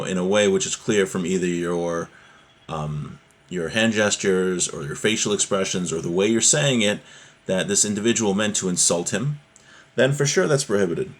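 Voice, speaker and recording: Low-voiced man teaching a religion class. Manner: informal, conversational, explains with everyday examples. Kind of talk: explanatory religious lesson, reading source text then translating and explaining.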